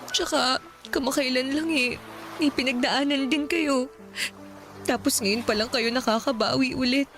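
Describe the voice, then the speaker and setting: Worried daughter in a radio drama, polite and pleading, kinda high voice. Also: female voice, fairly even intonation, unhurried, fairly bright tone, faint music behind. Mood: sad. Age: young adult. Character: worried young woman, dutiful daughter.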